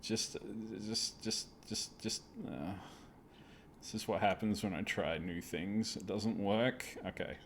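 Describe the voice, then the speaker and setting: A man's voice: fairly low pitch, takes it slowly, slight background noise. A man hosting a live gaming stream, chatting casually in a self-deprecating, apologetic way with plenty of swearing.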